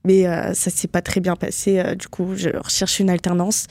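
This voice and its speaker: A young woman with a lower voice answers questions in a halting, casual way. The recording is dry and close. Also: level tone, a little mellow, no echo. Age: young adult. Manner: halting, with fillers. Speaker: student interviewee.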